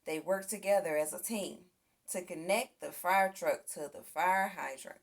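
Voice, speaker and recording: A woman reading a children's picture book aloud as an expressive storyteller. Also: on the low side, measured pace. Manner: expressive, acts out sound effects and exclamations. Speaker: storybook reader.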